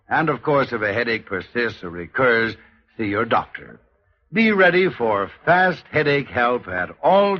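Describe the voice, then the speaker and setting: An American male announcer pitching a product on old-time radio. His medium-pitched voice is lively and emphatic with a folksy salesman's touch, heard through muffled vintage broadcast sound. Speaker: commercial announcer. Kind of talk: 1940s radio advertising pitch.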